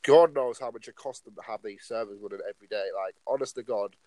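A man's voice: fairly low pitch, fast talker, a little mellow, no echo, poor audio. A nineteen-year-old man co-hosting a casual podcast, rambling along and filling his talk with "like" and "obviously". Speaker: podcast co-host.

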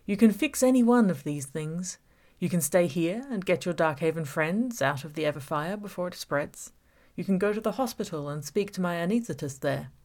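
A woman's voice: deep voice, a little mellow. A woman voicing a taunting, manipulative male villain in an audiobook, gloating and mock-reasonable. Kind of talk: audiobook character voice.

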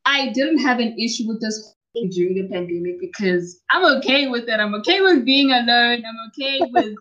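Chatty young South African woman, slightly high. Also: normal speed. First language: Northern Sotho and Tsonga. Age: young adult. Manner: relaxed, chatty, laughs often. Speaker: guest, university student and podcaster. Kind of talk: casual interview chat. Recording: muffled lo-fi audio.

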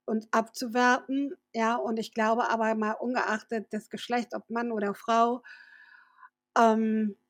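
A woman hosts her podcast in an upbeat, fluent manner. Her voice sits a little high and sounds dry and close to the mic. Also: flat delivery, unhurried, no room sound. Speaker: podcast host. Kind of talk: podcast interview.

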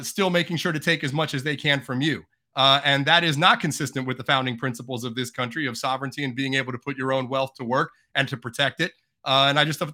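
Forceful man explaining figures. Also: medium pitch. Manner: measured, explaining figures step by step. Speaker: podcast guest. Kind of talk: casual podcast discussion.

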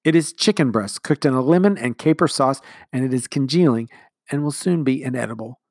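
A man voicing a brisk, no-nonsense woman who runs the household as a nanny. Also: medium pitch, a little mellow, dry recording, studio quality, voice pushed up, lightly acted. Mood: impatient. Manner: crisp and businesslike.

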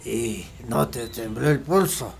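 A man's voice: mid-range voice, takes it slowly, creaky. A man playing a grim older master marksman, terse and instructive, in a radio drama. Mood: grave, instructive.

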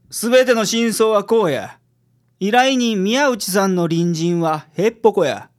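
A man playing a gruff, self-assured private detective with a Kansai accent in a radio drama. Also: high-pitched. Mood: matter-of-fact, sure. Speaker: cast member of a community radio show.

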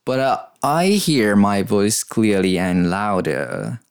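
A man's voice: lowish voice, animated, takes it slowly, smooth. A man with a Japanese accent, a native Japanese speaker, co-hosting a casual bilingual podcast. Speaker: podcast co-host. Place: small makeshift studio behind a cafe.

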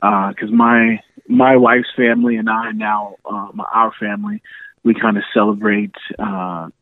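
A man's voice: fairly low pitch, little pitch movement, measured pace, no echo. A man in his thirties from New York City, chatting as a podcast guest over the phone and laughing through his stories. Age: 30s. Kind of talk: casual phone interview. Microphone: phone line. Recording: tinny phone line.

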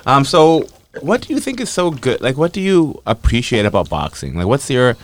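A man's voice: medium pitch, big pitch swings, normal speed, no room sound. A man with a Canadian accent hosting a casual podcast chat, laid-back and slangy, with some swearing. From Canadian West Coast. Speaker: podcast host.

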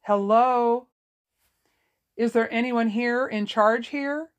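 Audiobook role, read by a woman: anxious grown daughter, mid-pitched, fragile and halting. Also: gravelly, lightly acted. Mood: calling out. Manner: hesitant, halting. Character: fragile, anxious adult daughter, near tears. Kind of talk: audiobook character dialogue.

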